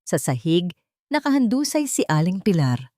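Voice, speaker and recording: Filipino woman narrating a dramatic story, lowish voice, dry close sound. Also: very animated, moderate pace, mellow, no echo.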